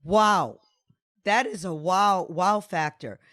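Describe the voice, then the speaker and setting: A woman's voice: fairly low pitch, slow, a bit bright. Upbeat woman hosting a podcast. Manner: upbeat, effusive. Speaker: podcast host. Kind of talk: enthusiastic host interview.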